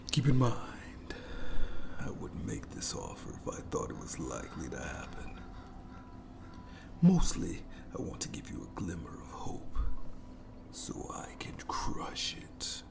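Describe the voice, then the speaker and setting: A menacing monster, played by a man, whispers slowly in horror ASMR style, with a creaky edge to the voice. It taunts with mock courtesy, playful and sadistic, drawing out its words. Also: deep voice, wildly swooping pitch, exaggerated voice. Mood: gloating, menacing.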